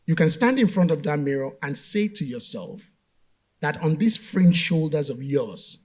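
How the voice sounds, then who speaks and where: Direct, fairly high-voiced male panelist. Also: animated, normal speed. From Nigeria. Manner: direct, challenges premises, then builds to emphatic points. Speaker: panel speaker. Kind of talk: motivational panel talk. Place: conference stage.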